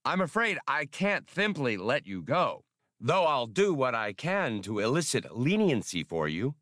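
A man's voice: mid-range voice, big pitch swings, measured pace, a bit bright, no echo, clean studio sound, voice pushed up. A man voicing a fussy, whiskered alien bureaucrat in an audiobook, with a lisp and formal, precise, wordy speech. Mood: satisfied, formal. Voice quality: lisping.

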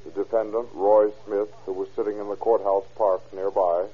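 An actor playing a dry, methodical official reading a case report calmly in a 1930s radio crime drama. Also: male voice, fairly low pitch, normal speed, husky. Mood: matter-of-fact. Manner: calm, unelaborated, matter-of-fact. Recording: muffled 1930s radio recording.

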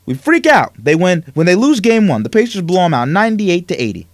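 Animated, emphatic male sports radio host. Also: sits high, fairly quick, no room sound, clean studio sound. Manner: emphatic, animated rants with rhetorical questions. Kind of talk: opinionated sports talk.

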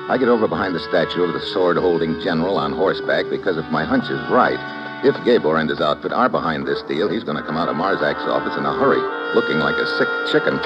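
A cocky hard-boiled American agent. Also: male voice, rumbling low. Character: tough, wisecracking American special agent. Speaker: lead and first-person narrator. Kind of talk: 1950s radio drama acting. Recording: dull vintage radio broadcast with music.

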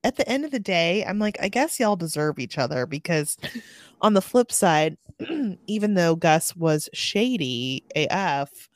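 A woman chatting casually as a podcast co-host, telling things in an animated way and acting out quotes. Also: on the low side, a little slow, no room sound. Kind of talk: casual chat about a novel.

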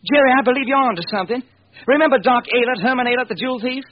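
An actor playing a blunt, wisecracking police lieutenant with a husky voice in an old radio crime drama. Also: male voice, very high-pitched, racing. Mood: wry, baffled. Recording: dull vintage radio recording.

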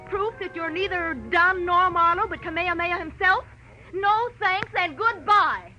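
A muffled old radio drama with music underneath. an indignant young woman with a very high voice, full of cold scorn. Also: moderate pace. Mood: scornful. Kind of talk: 1940s radio drama acting.

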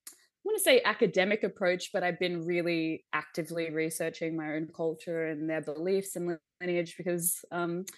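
A woman's voice: fairly low pitch, steady tone, normal speed, no echo. A young adult woman, a visionary artist, talking reflectively as a podcast guest, with light laughs and many "um" and "like" fillers. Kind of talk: casual conversation.

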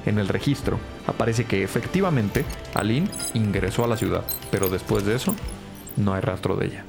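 An actor playing a police analyst in an audio drama, reporting findings in a precise, formal, unemotional way. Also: male voice, on the low side, brisk, gravelly, music in the background. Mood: factual, composed.

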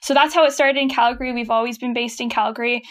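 A woman's voice: a little high, fairly even intonation, fast, fairly bright tone. Canadian woman explaining her work. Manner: friendly and explanatory, laughs easily. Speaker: guest, company chief of staff. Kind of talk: conversational interview.

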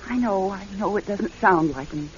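A woman's voice: medium pitch, normal speed, slight background noise, natural voice. An actress playing an anxious, loving, practical woman in a radio drama, telling her story and speaking in an earnest, dramatic way. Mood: pleading, earnest. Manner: hushed, dramatic storytelling, earnest pleading in dialogue. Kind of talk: radio drama acting and first-person suspense narration. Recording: dull lo-fi radio recording, music behind. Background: music.

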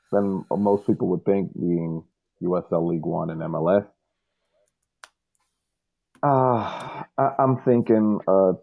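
A man with a very deep, gravelly voice talks soccer in an easygoing podcast chat. He speaks in measured phrases, pausing on ums. The sound is thin and tinny, like a phone line. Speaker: podcast guest.

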